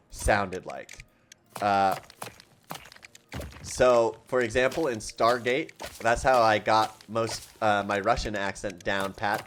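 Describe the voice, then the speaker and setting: A man's voice: lowish voice, a bit bright, a little hiss or hum. Rambling, laughing male streamer. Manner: rambling, self-deprecating, laughs often. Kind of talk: casual livestream chat while playing a game. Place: home room with a collection of objects.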